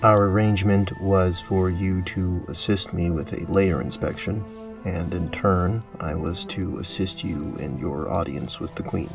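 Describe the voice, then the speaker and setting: A man's voice: low-pitched, steady tone, unhurried, creaky, recorded from a distance, music in the background. A man playing a dry, reluctant dungeon inspector in a fantasy audio drama, with formal, businesslike wording. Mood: dry, unmoved.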